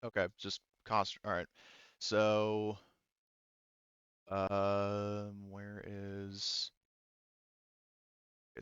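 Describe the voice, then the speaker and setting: A man with a fairly low, smooth voice runs a tabletop roleplaying game. He works through rules out loud in an informal, swearing-friendly way. The recording is dry and a little lo-fi. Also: no room sound. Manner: informal, swears casually, thinks aloud. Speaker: game master.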